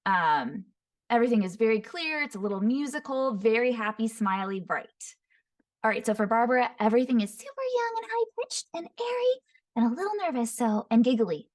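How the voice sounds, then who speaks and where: A woman voice actor teaching voice impressions, describing each voice in short phrases and often breaking into quick character demos. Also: a little high, expressive. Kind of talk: voice impression tutorial. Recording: voice somewhat far from the mic.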